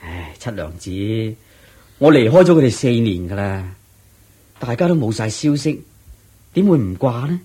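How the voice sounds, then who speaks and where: 沙哑谦卑嘅穷家男人. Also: male voice, mid-range voice, sing-song, normal speed, long pauses, warm tone, breathy edge. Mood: weary, wistful. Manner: deferential, hesitant, sighing. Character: humble, troubled poor layman, devoted and wistful. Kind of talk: Cantonese radio drama acting.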